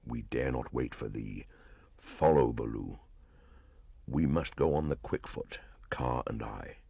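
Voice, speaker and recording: Guy doing a very deep quiet panther for an audiobook, grave and gravelly. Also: lively intonation, no echo, studio quality, full character voice. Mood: grave, urgent. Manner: low, quiet, measured.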